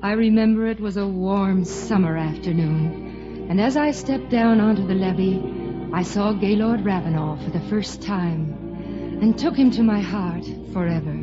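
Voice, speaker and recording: An actress playing a romantic, playful young showboat captain's daughter in a 1950s radio musical, with wistful theatrical delivery. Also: female voice, mid-range voice, a little slow, natural voice. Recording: muffled 1950 radio broadcast, orchestral music.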